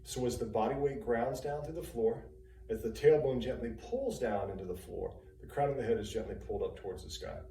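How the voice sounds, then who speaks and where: A man leading a guided meditation as a trainer, giving calm, soothing instructions in short, unhurried phrases with pauses between them. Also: mid-range voice. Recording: roomy, distant mic, faint hum and noise.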